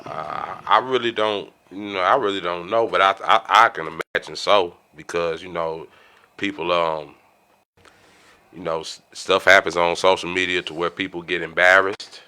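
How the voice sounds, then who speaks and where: A man's voice: low-pitched, steady tone, normal speed, sharp tone, smooth. A man in a casual, unscripted group discussion, emphatic and repetitive, often checking that he is understood. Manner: repetitive, emphatic, peppered with "you know what I'm saying". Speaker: podcast panelist.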